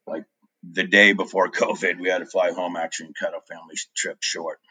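A man in his forties talks in a very low, deep voice, recorded dry and close. He rambles through his story as an interview guest, filling gaps with ums. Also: level tone, a little fast, bright, forceful tone, breathy edge, dry recording, poor audio. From New Hampshire. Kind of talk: casual interview chat.

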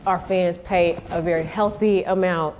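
A woman in her thirties, a podcast guest telling lively slang-filled stories in casual chat and laughing often. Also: on the low side, normal speed. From Nigeria.

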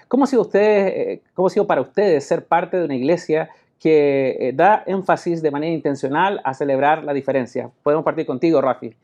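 A man's voice: on the high side, dark tone. Male pastor hosting a podcast, lo-fi sound. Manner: friendly, frequent affirmations.

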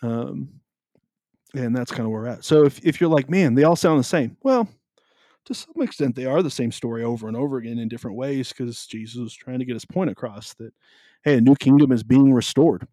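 Deep-voiced man, easygoing podcast talk. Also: lively intonation, fairly quick, warm tone, no room sound, clean studio sound. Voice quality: deep, carrying voice. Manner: easygoing, explains with many ums. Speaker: podcast co-host. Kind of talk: casual podcast Bible discussion.

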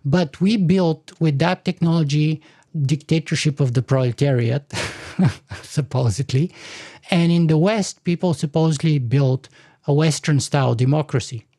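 A man in his forties lays out his argument like a lecture, often restarting his sentences. His voice sits a little high and sounds mellow. It is recorded dry, with no room echo. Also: normal speed. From Bulgaria. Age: 40s. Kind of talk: conversational podcast discussion.

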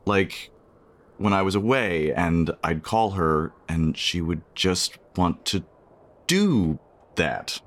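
A man's voice: deep voice, lively intonation. An actor playing a shy, self-conscious man in a romantic radio play, speaking hesitantly. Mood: flustered, exasperated. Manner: hesitant, halting, with sheepish chuckles. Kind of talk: naturalistic radio play dialogue.

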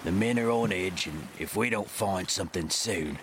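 A man playing a disgruntled but obedient pirate lookout in an audio drama, with rough, old-fashioned sailor's speech. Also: deep voice, moderate pace, lightly acted. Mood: bitter muttering. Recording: clear background ship ambience noise.

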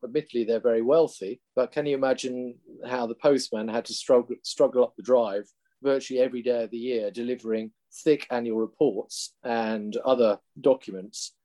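Lincolnshire guy, mellow voice, calm explainy investment manager, talks it through. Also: mid-range voice. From England. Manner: measured, explanatory, restarts at times. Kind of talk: explaining in an interview.

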